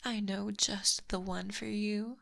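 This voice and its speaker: A woman playing a possessive, teasing lamia monster girl in a soft, breathy ASMR roleplay, close on a binaural microphone. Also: mid-range voice, flat delivery. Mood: doting, teasing. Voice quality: breathy, whispery. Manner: soft, teasing, slow and deliberate.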